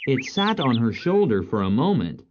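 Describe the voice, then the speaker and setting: A woman's voice: very deep voice, very animated, moderate pace. A woman narrating a scripted children's story as the storyteller. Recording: dull lo-fi audio, some noise.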